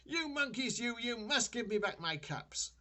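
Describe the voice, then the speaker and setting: Grandfather voicing a high-pitched, emphatic storybook peddler man. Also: animated, bright, forceful tone, heavily acted. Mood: exasperated scolding. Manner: sing-song drawn-out street calls, emphatic scolding. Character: cap peddler, man, hawking wares, scolding when crossed.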